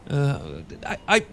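A man's voice: on the high side, vocal fry, sharp tone, slight background noise, exaggerated voice. A man with a British accent playing an awkward, sentimental English professor in a romantic ASMR roleplay, stammering and hesitant. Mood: flustered, sheepish. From Britain. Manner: stammering, hesitant, full of false starts. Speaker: audio roleplay performer.

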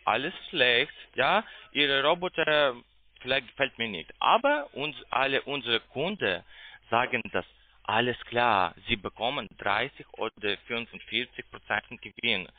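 A man speaks in a medium-pitched voice over a narrow, muffled phone line. He has a foreign accent and is not a native speaker. His sales pitch is halting and broken, as he keeps searching for words. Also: lively intonation, no echo. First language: not German. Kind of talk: sales call. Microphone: telephone.

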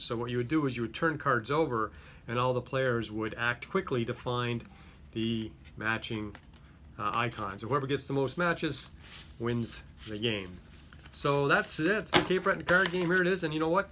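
Bright-voiced man with a slight Greek accent. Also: medium pitch, slight background noise. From Greek-speaking home. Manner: chatty, many fillers and restarts. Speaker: company head presenting a product. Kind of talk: casual product unboxing. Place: small office with a yellow wall.

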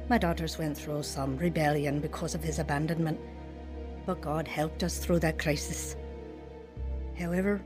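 A woman's voice: deep voice, natural voice. A woman playing an earnest, devout mother telling her life story in a sincere radio drama style. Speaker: lead actor and narrator.

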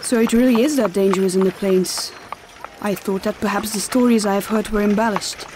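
A woman's voice: mid-range voice, steady tone, brisk, noisy background, close to an everyday voice. A woman playing a gracious, polite noble mother in a fantasy audio drama. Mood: polite curiosity.

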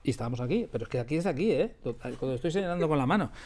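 Higher-pitched Spanish man chatting casually. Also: animated, very fast, a little mellow. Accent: Spain. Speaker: radio drama creator, interviewee. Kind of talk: casual interview chat, telling anecdotes. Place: radio drama studio.

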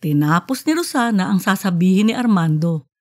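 A woman narrating an audiobook in an expressive storytelling style. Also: mid-range voice, moderate pace, dry recording, clean studio sound.

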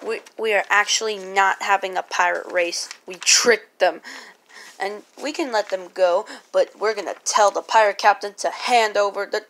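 A woman's voice: mid-range voice, steady tone, normal speed, fairly bright tone, close to an everyday voice. A woman voicing a bossy, taunting, scheming old pirate king in a homemade puppet play.

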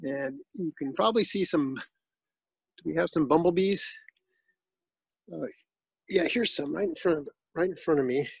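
A man with a fairly high voice walks a viewer through his backyard plants. He talks in a relaxed, informative way with small restarts. The sound is dry and close, recorded outdoors on a phone. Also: a little slow, creaky, pauses often, breathy edge, no room sound, poor audio. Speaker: garden owner giving a tour. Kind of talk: casual guided tour.

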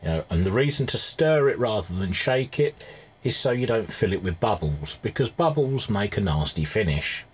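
Low-voiced man, casual demonstration. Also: lively intonation, normal speed. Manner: informal, thinking aloud while working. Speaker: restorer presenting a hobby repair video. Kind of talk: casual hands-on demonstration commentary. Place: indoor cluttered electronics workbench. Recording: clear background noise, workshop ambience.

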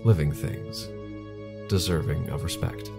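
Casting: man as formal, commanding company scientist, low gravelly voice, deliberate delivery, audio drama. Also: over music. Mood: measured, solemn. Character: measured, authoritative male corporate scientist. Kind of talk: audio drama media interview.

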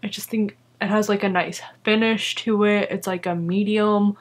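A woman's voice: medium pitch, steady tone, normal speed. Young woman, beauty vlogger, casual chat, filler-heavy, upbeat, small room, desk camera. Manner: chatty, filler-heavy, enthusiastic about products. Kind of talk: casual makeup review. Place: small indoor room with holiday decor.

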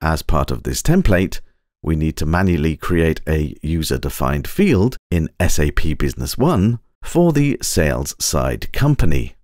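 Male tutorial narrator, low register, very varied intonation, precise scripted instructional read, dry sound. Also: big pitch swings, a little mellow, dry recording. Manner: measured, precise step-by-step instruction. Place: close, dry voice-over recording.

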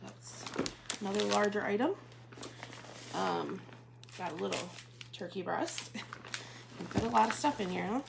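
A woman in her thirties chats her way through a live product demo from her living room, filling pauses with ums. Her voice has a gravelly, creaky edge, and the stream carries a clear hiss of noise. It sounds like a phone or webcam at table distance. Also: medium pitch. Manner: chatty, casual, frequent ums. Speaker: bag consultant demonstrating products. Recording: noisy Facebook Live stream.

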